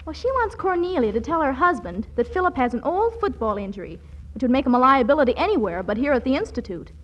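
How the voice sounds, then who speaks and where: An actress playing a sly, knowing young girl in a 1940s radio comedy, brisk and wisecracking. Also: high-pitched, sing-song, a bit bright, full character voice. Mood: sly, wry. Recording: dull old radio broadcast.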